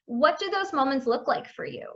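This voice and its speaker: Upbeat, chatty woman host, slightly higher voice. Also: expressive, moderate pace, fairly bright tone. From Italian family background. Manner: upbeat, chatty, laughs easily. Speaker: podcast host. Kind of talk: casual interview chat. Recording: slightly dull, lo-fi sound.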